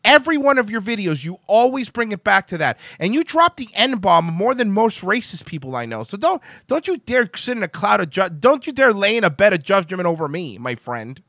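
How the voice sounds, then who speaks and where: Cast a male radio host from Cuba, Spanish first language, brash and mocking. High, lively voice that keeps interrupting. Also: creaky, no room sound, clean studio sound. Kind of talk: insult-heavy provocative interview.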